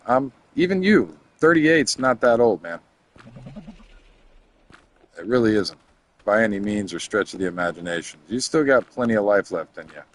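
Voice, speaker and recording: Low-voiced rambling man in his thirties. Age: 30s. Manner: rambling, chatty, addresses viewers directly; mutters to himself while working through game menus. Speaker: game streamer. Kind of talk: casual chat while playing a game.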